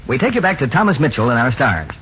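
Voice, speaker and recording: A man announcing and pitching a sponsor in scripted 1940s radio style, on a muffled broadcast. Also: sing-song, fast talker, a bit bright, slight background noise.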